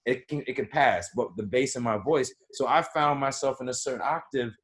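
A man talking reflectively in a casual podcast discussion, often pausing and restarting his thoughts. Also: medium pitch, fairly bright tone.

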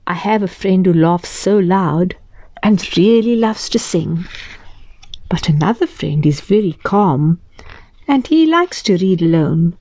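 A woman's voice: on the low side, expressive, a little slow, dark tone. A woman voicing a curious young boy full of questions in a read-aloud storybook. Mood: wondering. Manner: earnest, wondering, asks questions.

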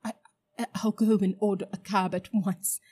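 A woman voicing a frightened old gentleman who stammers and fawns with exaggerated politeness. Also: sits high, moderate pace, pitched above the performer's own voice, close to an everyday voice. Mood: fawning. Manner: stammering, flustered, overly polite.

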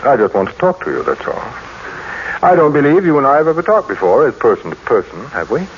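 A man's voice: medium pitch, very animated, fast, close to an everyday voice. An actor playing a cold, suspicious middle-aged businessman in an old-time radio drama, with a brusque, commanding manner. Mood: stiffly formal. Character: cold, imperious, suspicious businessman husband, decisive. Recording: muffled, noisy old radio recording.

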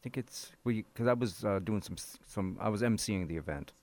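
A man's voice: lowish voice, brisk, darkish tone. A man co-hosting a radio show with casual, chatty banter, often repeating his phrases. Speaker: radio co-host.

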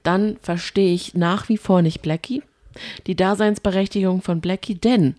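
A woman's voice: medium pitch, steady tone, normal speed, dark tone, no echo. A woman chatting casually as a podcast co-host, with a playfully critical, teasing way of talking.

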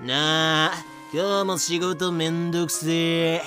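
A man's voice: a little high, level tone, takes it slowly, over music. A man playing a slack, clueless sailor with a laid-back, bewildered manner in an amateur audio drama. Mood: bored.

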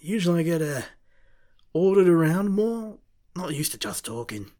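Woman playing an anxious male demon, awkward, mumbling, hesitant, lowish voice. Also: very animated, moderate pace, dark tone. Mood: awkward, unsure. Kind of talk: audiobook character voice.

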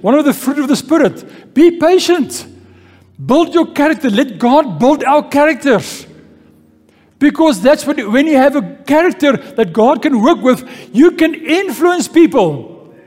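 Very high-voiced slow preaching man. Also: big pitch swings, a little mellow. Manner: call-and-response preaching, repeats key phrases. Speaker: pastor. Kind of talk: sermon with scripture reading. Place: church hall. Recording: long church-hall reverb with faint music.

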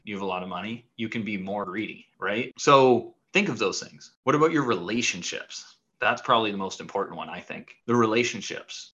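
A man's voice: fairly low pitch, bright, forceful tone, muffled recording. A man hosting a podcast as a coach, talking bluntly and casually, swearing freely in a motivational monologue.

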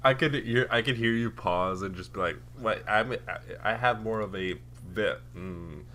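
A man with a raspy morning voice, chatting casually and teasing his co-host. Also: lowish voice, bright, forceful tone, slight background noise. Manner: playful and teasing, quick to laugh. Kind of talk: casual chat.

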